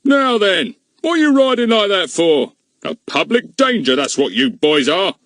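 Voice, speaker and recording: A pompous, short-tempered village policeman, as a man puts him on for a storybook reading. He blusters and scolds, pitched higher than the reader's usual speech, with the words swinging up and down and sounding a little set back. The sound is dry and close. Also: sing-song, moderate pace, fairly bright tone, dry recording. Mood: blustering. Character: pompous, irritable middle-aged village policeman.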